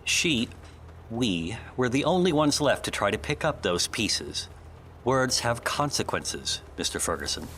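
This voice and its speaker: A man's voice: mid-range voice, very animated, unhurried, fairly bright tone, a little hiss or hum. An actor playing a prim, loyal male assistant in a noir audio drama, formal, precise and reproachful. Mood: indignant.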